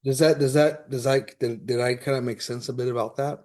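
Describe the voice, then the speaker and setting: A man hosting a casual, exploratory interview, talking haltingly and repeating himself as he searches for words. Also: mid-range voice, fairly even intonation, darkish tone. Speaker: podcast host.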